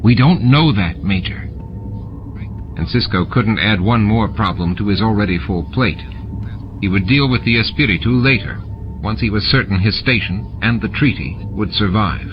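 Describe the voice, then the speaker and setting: Casting: male fiction narrator, low register, muffled lo-fi recording with loud music and effects. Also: moderate pace. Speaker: audiobook narrator. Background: music and sound effects.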